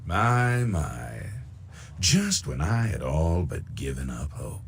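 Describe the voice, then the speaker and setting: Game villain: middle-aged smooth-talking recruiter, very low creaky voice, pompous and menacing, lingering over words. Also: male voice, sing-song, a little hiss or hum, exaggerated voice. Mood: oily delight. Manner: pompous, unctuous, deliberate, florid diction. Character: suave, sinister middle-aged male recruiter for shadowy interests. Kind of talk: theatrical video game dialogue.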